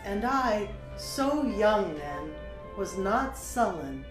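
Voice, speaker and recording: A woman playing an idealistic, passionate young poet in a dramatic audiobook, with emphatic, deliberate phrasing. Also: medium pitch, very animated. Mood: reflective. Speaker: audiobook performer. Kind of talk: dramatic poetic audiobook performance. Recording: roomy sound with music behind. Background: music.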